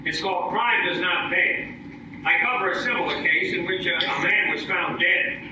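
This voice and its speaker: An actor playing a glib, lecherous comic detective who wisecracks deadpan in the style of an old-time radio comedy. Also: male voice, high-pitched, normal speed. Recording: noisy, dull, very echoey live stage, distant.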